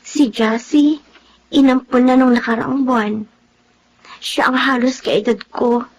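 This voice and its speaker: A woman's voice: high-pitched, a little mellow. An actress in a Filipino radio drama playing a lonely, timid teenage orphan girl who speaks politely and plaintively. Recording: muffled, music underneath.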